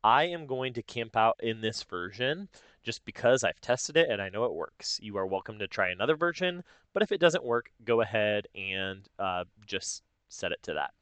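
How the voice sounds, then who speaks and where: A man narrating a casual software tutorial step by step, informally thinking aloud as he goes. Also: fairly low pitch, very animated, normal speed, clear, resonant tone, no room sound. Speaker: tutorial host.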